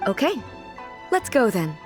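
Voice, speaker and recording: A woman's voice: medium pitch, sing-song, a little slow. A woman voicing a regal, earnest and curious female deity in a video game, speaking in a formal way. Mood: puzzled, curious. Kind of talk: video game character dialogue. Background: music.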